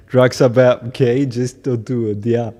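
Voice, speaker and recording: Slow, mellow German-accented man. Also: mid-range voice, fairly even intonation, in a large hall. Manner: chuckling often, halting with many fillers. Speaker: musician hosting a livestream. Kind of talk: casual chat with stream viewers.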